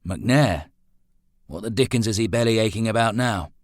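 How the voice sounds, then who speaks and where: A man acts out a gentlemanly father in a period storybook. His voice sits low and close. He speaks in clipped old-fashioned phrases, stern but patient, and easily flustered. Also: normal speed, dry recording. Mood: brisk.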